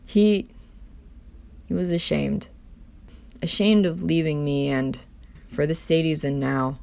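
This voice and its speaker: A woman's voice: low-pitched, steady tone, slow, creaky, darkish tone, full character voice. A woman reading the part of a loyal, defiant sixteen-year-old boy who protests earnestly in a scripted scene. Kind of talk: scripted scene table read.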